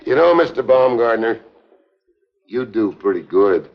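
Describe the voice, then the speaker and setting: An actor playing a tough, wry, unruffled American secret agent in a 1950s television spy drama. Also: male voice, medium pitch, lively intonation, unhurried, gravelly. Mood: wry, pressing. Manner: wry, hard-boiled, unruffled. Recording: dull 1950s TV film soundtrack.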